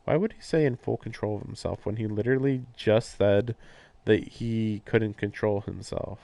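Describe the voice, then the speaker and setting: A man's voice: fairly low pitch, moderate pace, creaky, warm tone, no room sound. A man on a live game stream, reading narration aloud and breaking off for casual, puzzled commentary. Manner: puzzled, questioning asides. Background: game music.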